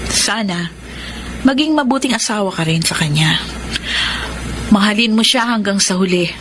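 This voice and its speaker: An actor playing a grown stepchild in a radio drama, earnest and measured. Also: female voice, mid-range voice, animated, natural voice. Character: grown stepchild, guarded yet accepting. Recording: noisy radio drama recording.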